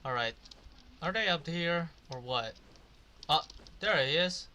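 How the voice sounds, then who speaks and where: A man's voice: on the high side, animated, a little slow, sharp tone. A man doing casual, rambling gameplay commentary, swearing now and then. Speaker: video game streamer.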